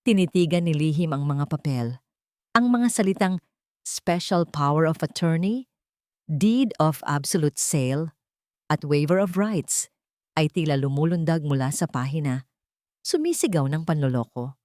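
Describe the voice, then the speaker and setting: Low-pitched woman narrating a drama. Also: lively intonation, a little slow, pauses often, darkish tone, dry recording, studio quality. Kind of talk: dramatic scripted story narration.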